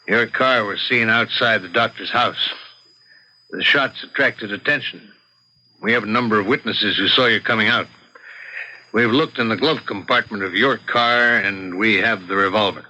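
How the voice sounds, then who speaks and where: An actor playing a seasoned, blunt police detective making an official arrest in an old-time radio drama. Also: male voice, on the low side, normal speed, sharp tone, poor audio. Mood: firm, blunt. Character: seasoned male police detective, firm, matter-of-fact.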